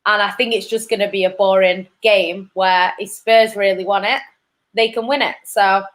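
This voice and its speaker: A young woman with a Manchester accent, chatting casually as a football pundit, animated and quick to laugh. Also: mid-range voice. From England. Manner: animated, laughs often, trails off. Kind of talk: casual chat.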